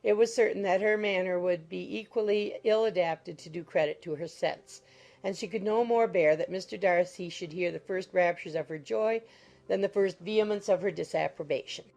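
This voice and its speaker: A woman's voice: fairly low pitch, moderate pace, vocal fry, a little mellow. A woman narrating a classic novel as a scripted audiobook.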